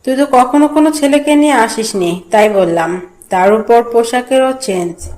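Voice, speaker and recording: A woman's voice: a little high, normal speed, darkish tone, lightly acted. A woman playing a curious young college friend in an audio story drama.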